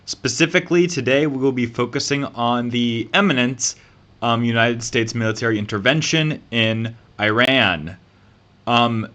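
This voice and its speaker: A young man with a Southern US drawl talks through history like he's chatting with friends, filling gaps with ums and uhs. His voice is bright and forward, sitting at an ordinary middle pitch for a man. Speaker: YouTube channel host. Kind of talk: casual history commentary.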